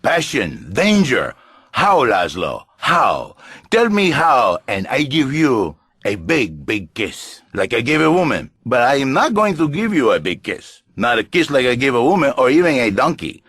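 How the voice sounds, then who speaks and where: An actor playing a flamboyant, pompous Latin lothario with a Spanish accent and husky voice, theatrical and grandiose in broken English on satirical talk radio. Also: male voice, mid-range voice, very animated, measured pace, a bit bright, dry recording, clean studio sound, full character voice. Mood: passionate, theatrical. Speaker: radio talk show guest.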